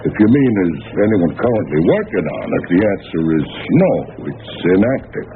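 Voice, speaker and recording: An actor playing a weary, suspicious police lieutenant in old-time radio drama, halting and matter-of-fact. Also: male voice, on the low side, huge pitch swings. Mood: official, guarded. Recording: narrow, noisy old radio recording.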